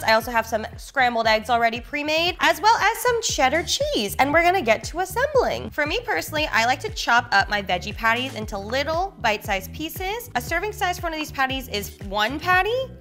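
Bubbly, bright-voiced young woman. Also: fairly high pitch. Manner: bubbly, animated, talks with her hands. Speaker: cooking show host. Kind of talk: upbeat cooking vlog. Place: bright home kitchen, indoors.

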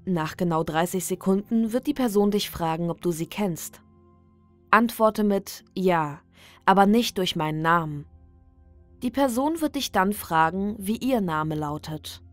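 A woman's voice: mid-range voice, measured pace, dry recording. Woman narrating horror, dry, over music. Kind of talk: scripted narration of a creepy ritual guide. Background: background music.

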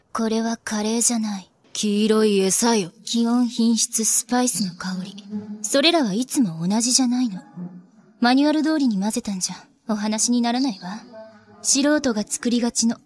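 A woman's voice: fairly high pitch, normal speed, darkish tone, natural voice. A woman voicing a ruthless, imperious young princess and wrestler in an anime, speaking in haughty, archaic commands. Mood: cold, scornful. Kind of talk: anime voice acting.

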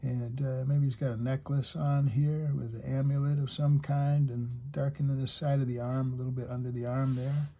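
Male drawing teacher, husky voice, unhurried and halting as he explains each line, slightly muffled sound. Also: medium pitch, level tone. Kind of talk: step-by-step drawing lesson for kids, talking while drawing.

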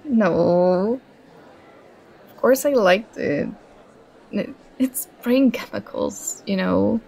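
A woman's voice: medium pitch, expressive, creaky, darkish tone, exaggerated voice, low-quality recording. A young woman playing a nerdy, affectionate girlfriend who gets flustered and bashful, in an ASMR roleplay. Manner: bashful, halting, playfully protesting.

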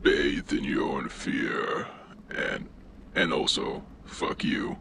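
A man voicing a menacing, petty masked villain in a parody game dub, with a deep, gravelly voice and drawn-out deadpan taunts. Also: exaggerated voice. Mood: taunting, menacing. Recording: noisy track with background ambience.

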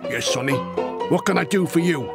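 A man's voice: on the high side, a little fast, creaky, loud music behind the voice. A man voicing an old recruiting sergeant in a children's audiobook, fatherly, knowing and slyly obliging. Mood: knowing, kindly. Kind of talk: acted children's audiobook dialogue.

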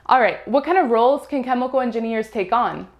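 Upbeat high-voiced young woman host. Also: a little fast, highs cut off. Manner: upbeat, enthusiastic. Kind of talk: scripted channel host talk. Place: studio before green screen, indoors. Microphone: lapel.

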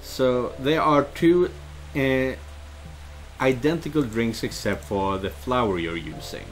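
A man's voice: mid-range voice, slow pace, poor audio. A middle-aged man giving casual commentary on a game he plays, reading its text aloud expressively. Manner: expressive, exclaiming. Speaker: streamer playing a visual novel. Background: game music and rain sounds.

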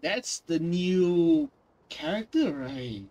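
A man streaming his reaction to a video game, with excited, exclaiming asides. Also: a little high, drawn-out words, dry recording, highs cut off. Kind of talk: live reaction commentary.

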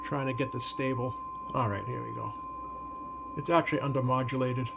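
A man giving a casual, unscripted hands-on demonstration to viewers, halting and thinking aloud as he works. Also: medium pitch, fairly even intonation, gravelly, heavy background noise. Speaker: radio repair hobbyist, video host. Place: indoor home electronics workbench.

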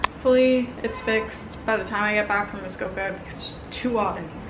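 A young woman with a mid-pitched voice chats casually as she vlogs. She rambles informally and thinks out loud as she goes. The camera audio carries clear ambient noise behind her. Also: brisk. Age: 20s. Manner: informal, meandering, thinking aloud. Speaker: lifestyle vlogger. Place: home apartment kitchen, sometimes street. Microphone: handheld or propped camera.

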